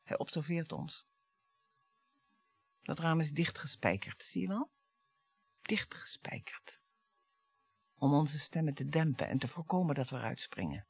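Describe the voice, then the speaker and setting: A woman's voice: low-pitched, lively intonation, a little slow, creaky, long pauses, husky, no echo, faint music behind, heavily acted. An actress in a radio play playing a bitter, taunting middle-aged wife and mother, sarcastic and dramatic. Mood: hushed, fearful. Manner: sarcastic, dramatic, swinging between hushed and biting.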